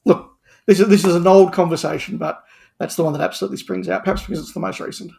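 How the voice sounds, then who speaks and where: A man's voice: sits high, steady tone, quick pace, creaky, a little mellow. A man speaking as a podcast guest in an opinionated discussion, stressing his points by repeating words and phrases. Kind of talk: animated opinionated panel discussion.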